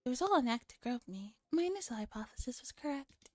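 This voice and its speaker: A woman voicing a sharp, no-nonsense eighteen-year-old girl genius in a visual novel read-aloud. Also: high-pitched, animated, fast. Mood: cool, assertive. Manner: curt, no-nonsense.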